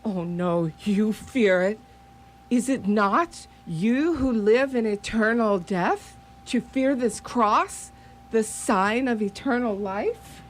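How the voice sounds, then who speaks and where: A woman's voice: on the high side, slow. A woman playing a grave, resolute vampire hunter in a radio play, speaking formally and with solemn measure. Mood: defiant. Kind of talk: radio theatre acting. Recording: radio play with background hum.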